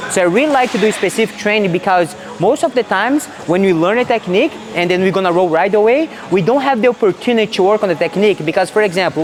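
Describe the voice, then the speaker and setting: A man's voice: high-pitched, a little hiss or hum. A man in his twenties with a Brazilian accent, a jiu-jitsu instructor talking casually and earnestly, with encouraging emphasis. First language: Portuguese. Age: 20s. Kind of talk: casual instructional talk to camera and class. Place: large indoor martial arts gym. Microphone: small mic clipped to chest.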